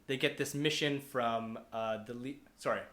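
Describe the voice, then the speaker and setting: A man with a mid-pitched voice talks through a story in a casual, unscripted way. He rambles and backtracks to fix his own wording. The recording has a noticeable room echo. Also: steady tone, normal speed, a bit bright. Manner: rambling, often losing his thread and correcting himself. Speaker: podcast panelist pitching a movie adaptation. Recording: roomy, echoing room.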